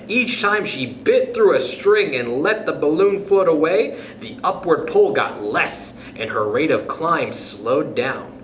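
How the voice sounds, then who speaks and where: A man hosting storytime for children, reading aloud expressively with dramatic, deliberate phrasing and pauses. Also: fairly high pitch, fairly bright tone. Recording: echoey room with background noise.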